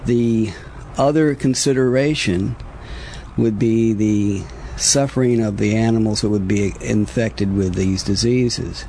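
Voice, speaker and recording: An American man answers interview questions as a government official. He talks very slowly in a slightly low voice, choosing formal words with frequent hesitations. There is faint noise behind him from the field recording. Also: gravelly, mellow. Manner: measured, hesitant, formal wording with frequent pauses.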